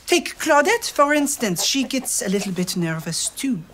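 High, lively storybook friar. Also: male voice, normal speed, a little hiss or hum, full character voice. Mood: confiding. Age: 30s. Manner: expressive storytelling, sprinkled with French. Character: gentle, excitable traveling friar troubadour. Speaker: traveling troubadour and puppeteer in a children's show. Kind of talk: theatrical children's show acting. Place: studio set. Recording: music bed under the voice.

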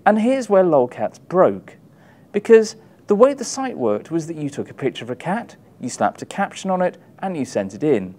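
A man in his thirties giving an expressive, wry reading from his book, with emphatic delivery and dramatic pauses. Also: fairly high pitch. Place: small indoor room, publisher's backdrop. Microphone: lapel mic.

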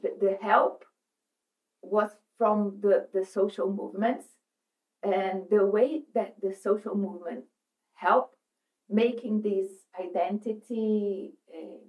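A middle-aged woman from Brazil with a Brazilian Portuguese accent, presenting as a psychoanalyst in a meeting room, pausing and restarting as she searches for English words. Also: medium pitch, fairly even intonation, darkish tone, poor audio. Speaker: psychoanalyst speaker. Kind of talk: presentation at a seminar. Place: meeting room, seated at a table.

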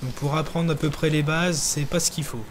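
A man's voice: fairly high pitch, steady tone, a little hiss or hum. Hesitant young man narrating gameplay. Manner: hesitant, many pauses and fillers, talks while playing. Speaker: gaming channel host. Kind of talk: casual gameplay commentary.

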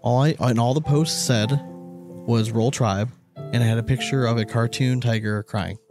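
A man's voice: medium pitch, steady tone, moderate pace, music in the background. A man hosting a casual sports podcast, talking in a blunt, rambling, loose way with some swearing. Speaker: podcast host.

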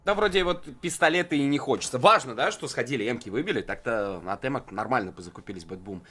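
A man's voice: mid-range voice, animated, quick pace, bright, forceful tone, slightly rough. A man giving live play-by-play commentary as an esports caster.

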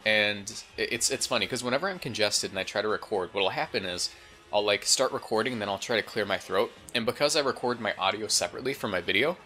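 Male gaming host, lowish and slightly congested, informal thinking-aloud play commentary, faint music underneath. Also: fast talker, sharp tone. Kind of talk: casual gameplay commentary.

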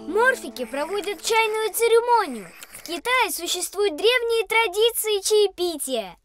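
A tiny, earnest know-it-all creature in a kids' learning cartoon. The childlike voice is extremely high and very melodic, explaining things with music behind it. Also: big pitch swings, unhurried, exaggerated voice. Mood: earnest, explanatory.

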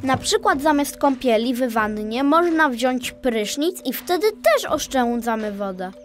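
A woman's voice: sits high, expressive, a little slow, slight background noise. A woman playing an eager, polite young boy elephant in a children's audio play, earnest and childlike.

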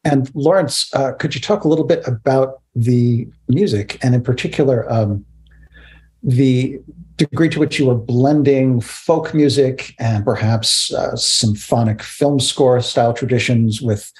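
A man in his fifties interviewing over a video call, phrasing questions in a measured, careful way. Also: mid-range voice, darkish tone, poor audio. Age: 50s. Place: indoors, virtual background.

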